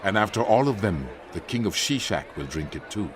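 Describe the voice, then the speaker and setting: A man playing a solemn prophet who relays divine judgment, declaiming in a grave, measured way in a dramatized scripture narration. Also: on the low side, sing-song, noisy background. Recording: faint music behind the voice.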